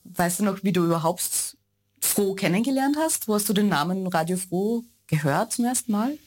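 A woman's voice: medium pitch, expressive, moderate pace, darkish tone. A woman asking questions in a casual, conversational interview.

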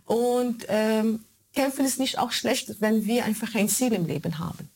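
Arabic-accented woman, halting interview speech. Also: a little high, vocal fry, a little mellow, slight background noise. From born in Germany, raised in Kuwait and Egypt. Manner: halting, searching for words in non-native German. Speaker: radio show maker being interviewed. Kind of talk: conversational interview answers.

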